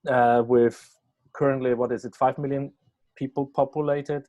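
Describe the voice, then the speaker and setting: A Swiss German man hosts a podcast in loose, conversational English with a Swiss German accent. He talks in a halting, rambling way at a medium pitch. The sound is dry, with no room echo. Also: flat delivery, warm tone, highs cut off. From Switzerland. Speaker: podcast host. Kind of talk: casual interview chat.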